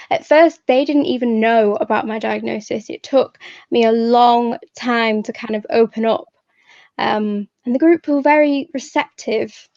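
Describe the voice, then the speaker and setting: A young woman poet giving a personal talk and reading, measured, pausing between short phrases. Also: fairly high pitch, darkish tone, dry recording, highs cut off. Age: young adult. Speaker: poet, guest speaker.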